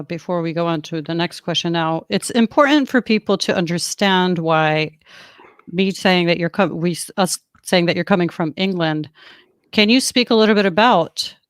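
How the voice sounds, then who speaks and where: Lower-voiced woman asking questions. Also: clear, resonant tone, no room sound. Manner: warm backchanneling, asks long framing questions. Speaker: host. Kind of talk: interviewer.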